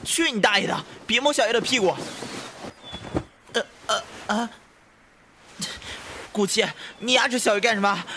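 A male actor playing a feisty, touchy but soft-hearted young man in a Chinese costume audio drama. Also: high-pitched, moderate pace, pauses often, background noise. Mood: flustered, angry.

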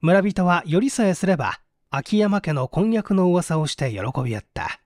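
Man, scripted story narration, lively melodic range, dry close sound, theatrical reader. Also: high voice, moderate pace, no room sound. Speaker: audiobook narrator, stage actor.

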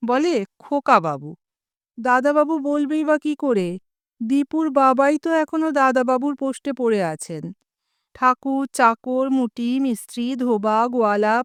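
A woman narrates a comic story in a measured, expressive way. Her voice sits a little high and sounds smooth and clear. The recording is dry and close, with no room sound. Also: dry recording.

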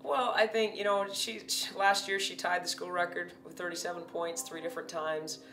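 A woman in her forties, a head basketball coach, giving a steady, measured post-game interview with many fillers. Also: on the low side. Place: indoor press backdrop. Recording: roomy, distant, faint hum.

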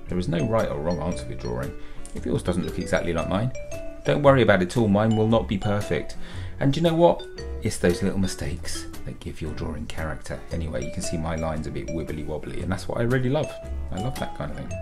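A man's voice: sits low, creaky. Cheerful man coaching kids through drawing. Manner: upbeat and encouraging, talks children through each step. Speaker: children's author and illustrator. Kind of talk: drawing lesson for young children. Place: home studio with bookshelves.

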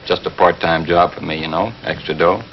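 A man's voice: sits low, fairly even intonation, fairly quick. An actor with an American accent playing an evasive, defensive counterman under police questioning, talking in a colloquial way. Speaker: counterman, suspect. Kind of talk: 1950s TV drama acting. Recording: dull 1950s TV soundtrack, faint hiss.

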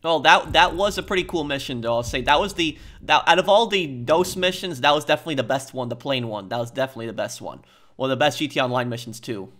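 A man giving casual gameplay commentary in a hesitant, halting way full of ums. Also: medium pitch, a bit bright. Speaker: gamer, video host.

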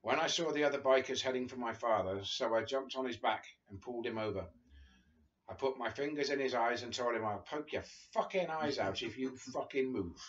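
A man's voice: medium pitch, fairly quick, a bit bright. An English man in his fifties reading a memoir aloud in an informal, amused way. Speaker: guest reader. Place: small living room, seated on a sofa. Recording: dull lo-fi video call audio.

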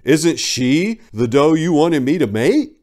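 A man's voice: fairly high pitch, very animated, takes it slowly, a little mellow, pitched above the performer's own voice. Guy doing a young lovestruck reindeer buck in a storybook, earnest and eager, a bit raised. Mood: earnest, insistent.

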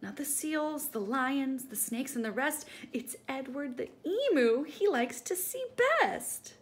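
A woman's voice: sits high, expressive, takes it slowly, pitched above the performer's own voice. A woman voicing an eager, boastful male emu in a storybook, with an exclamatory, emphatic delivery. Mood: astonished delight.

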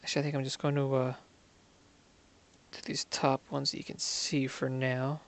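A man's voice: medium pitch, flat delivery, normal speed. A man giving casual gameplay commentary, muttering to himself and counting under his breath. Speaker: gaming video creator.

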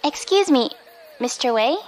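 Casting: actress for young, poised, dangerous heiress, audio drama, polite but pointed, slightly high, music underneath. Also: female voice, expressive, a little slow, rough recording. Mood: polite, assured. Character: poised, deadly young daughter of a feared father.

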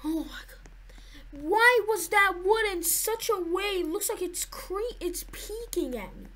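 A woman's voice: very high-pitched, lively intonation, unhurried, a bit bright. A woman giving nervous, jumpy commentary to her viewers while playing a horror game. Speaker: gaming YouTuber.

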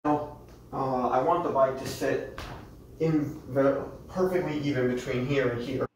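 A man in his thirties, hosting a home DIY video, explaining each step in a relaxed, casual way with a touch of self-deprecating humor. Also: medium pitch, a little slow, slight background noise, low-quality recording. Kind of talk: casual how-to narration. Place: home living room.

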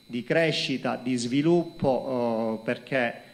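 A middle-aged man from Calabria giving a halting talk to students at a lectern, with many fillers and repeated words, into a handheld microphone in a conference hall. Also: mid-range voice. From Calabria, Italy. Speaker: company executive presenting to students. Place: conference hall with projector screen.

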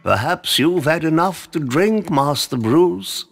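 A man's voice: on the high side, expressive, vocal fry, exaggerated voice. An actor playing an older, proper male butler, formal, dry and patiently disapproving, in a comic-book drama. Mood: polite, concerned. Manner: formal, dry, measured, politely correcting.

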